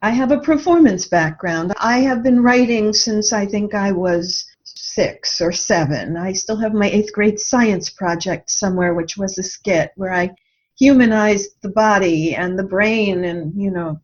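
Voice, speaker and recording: An older woman telling personal stories conversationally in an interview, in a reflective and expressive way, voicing her own thoughts as she goes. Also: mid-range voice, measured pace. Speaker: podcast guest, writer and radio drama producer. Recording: dull band-limited lo-fi call audio.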